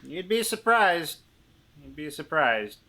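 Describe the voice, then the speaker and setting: Low-voiced woman with animated delivery. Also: slow. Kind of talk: casual improvised role-play. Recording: faint background noise and ambience.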